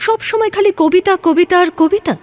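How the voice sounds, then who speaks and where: A woman playing a poet's teasing sweetheart in an audio drama, gently chiding and playful. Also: extremely high pitch, brisk. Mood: irritated, chiding. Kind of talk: Bengali audio drama dialogue. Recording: music under the voice. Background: background music.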